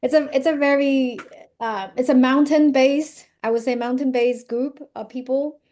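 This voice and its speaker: A woman's voice: sits high, steady tone, moderate pace, warm tone. A woman in her thirties from China with a Chinese accent, a podcast guest talking casually and earnestly, with many fillers and restarts. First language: Zhuang dialect or Mandarin. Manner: laughs easily. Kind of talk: casual interview chat.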